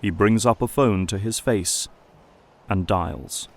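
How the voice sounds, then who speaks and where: A man's voice: lowish voice, slow, gravelly. A man reading scripted narration for an audio drama.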